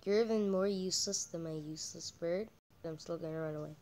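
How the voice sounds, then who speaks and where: A woman doing casual, halting game commentary as a channel host, her voice croaky from a cold. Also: on the low side, darkish tone. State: croaky with a cold, coughing and throat-clearing.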